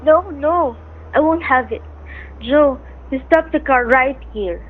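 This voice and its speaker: A woman's voice: sits high, takes it slowly. An actress playing an anxious, protective young wife and mother in radio melodrama, earnest and pleading, defiant when pushed. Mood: desperate, defiant. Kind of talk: old-time radio melodrama acting. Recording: tinny vintage radio recording, background noise.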